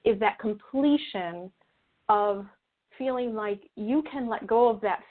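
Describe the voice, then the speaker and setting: A woman's voice: medium pitch, slow. Earnest woman on a tinny phone line. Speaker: interview guest. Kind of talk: conversational explaining in an interview. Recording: tinny narrow-band phone or call line.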